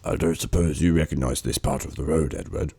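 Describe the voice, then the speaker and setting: A man playing a kindly, patient old farmer in an amateur radio drama, speaking in a gentle, thoughtful, measured way. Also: extremely low pitch, fairly even intonation, vocal fry, husky, heavily acted. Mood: gently helpful. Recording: music under the voice.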